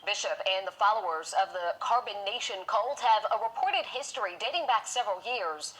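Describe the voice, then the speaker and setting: A woman anchors a TV newscast, reading her script in a polished, steady way. Her voice is bright and clear. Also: medium pitch, brisk, rough recording. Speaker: TV news anchor.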